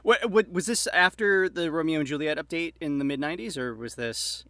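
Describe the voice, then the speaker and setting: Bright-voiced man, fairly high, chatting. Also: brisk, no room sound. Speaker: podcast guest, audio drama creator. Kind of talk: casual chat.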